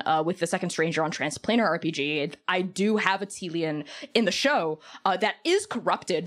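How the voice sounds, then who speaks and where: A woman talking casually and enthusiastically as a podcast guest and game master, informal and animated. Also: lowish voice.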